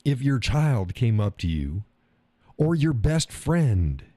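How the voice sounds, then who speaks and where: A man's voice: medium pitch, very animated, slow pace, mellow, dry recording, studio quality. A man hosting a live stream show, talking bluntly and profanely. He hammers points by repeating phrases and reads out viewer chat comments.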